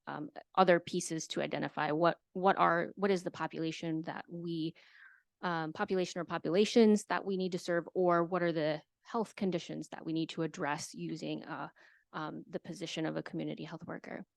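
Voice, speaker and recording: A woman who works as an extension educator, explaining a program to a county committee in a hesitant way, with many filler pauses. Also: low voice, steady tone, gravelly, no room sound. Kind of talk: committee meeting presentation.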